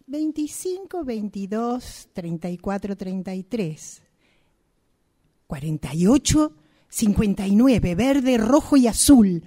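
A woman performs a vocal acting exercise. Her voice is very dark and soft-edged. She delivers each item separately and extremely slowly, pausing between them. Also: medium pitch, sing-song. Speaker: radio drama workshop participant. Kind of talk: acting exercise, reciting numbers and colours.

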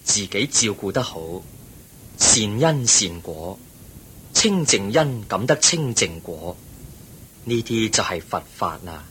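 An actor with a Hong Kong Cantonese accent playing the Buddha in a radio drama, a calm, wise teacher who explains things patiently and in measured phrases. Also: male voice, heavily acted. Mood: patient teaching. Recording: noisy recording with low rumble.

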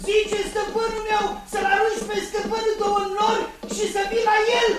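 An actor voicing a sly talking magic horse in a 1960s radio drama, shrill and mocking toward its master. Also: male voice, completely flat delivery, loud music behind the voice. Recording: old echoey radio tape with hiss.

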